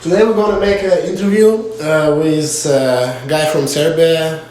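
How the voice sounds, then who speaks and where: A man's voice: a little high, steady tone, a little mellow, a little hiss or hum. Hesitant man interviewing. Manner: halting, many fillers, apologetic. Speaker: interviewer and channel host. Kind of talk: casual interview in non-native English. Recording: echoey room with faint ambience.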